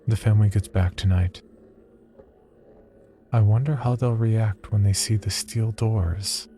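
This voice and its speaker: A man acting as a cold, detached psychology researcher, reading a sinister diary in a measured, clinical horror-story narration. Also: lowish voice, steady tone, slight background noise. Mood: detached, musing. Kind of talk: horror audio fiction, first-person diary narration. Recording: loud music bed under voice. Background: loud music.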